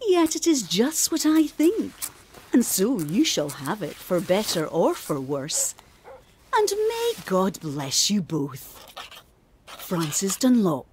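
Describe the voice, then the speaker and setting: An actress playing a refined, earnest older gentlewoman reading her formal, gently preaching letter in a radio drama. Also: mid-range voice, slight background noise. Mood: earnest, admonishing.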